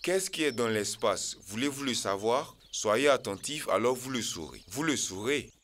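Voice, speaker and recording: A man voicing a jovial uncle who addresses children playfully and with drawn-out words, in a cartoon dub. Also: medium pitch, lively intonation, a bit bright, a little hiss or hum, lightly acted. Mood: cheerful, inviting.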